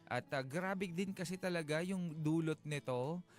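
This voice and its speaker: A man's voice: on the high side, unhurried. Male radio co-host with music behind. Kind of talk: conversational advice and commentary. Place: radio studio. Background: background music.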